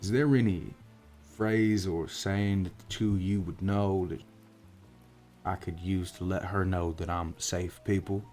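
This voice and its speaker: A man voicing a gruff, world-weary orc private investigator in a soft-spoken roleplay, with a folksy, wry drawl. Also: sits low, fairly even intonation, measured pace, soft background music. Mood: serious, explaining. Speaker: voice actor in roleplay audio.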